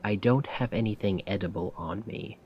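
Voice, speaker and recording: A man playing a weary, self-doubting failed poet in a soft-spoken, rambling ASMR roleplay monologue. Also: on the low side, highs cut off, a little hiss or hum, lightly acted. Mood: irritated, weary. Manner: slow, brooding, rambling. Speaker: roleplay audio performer.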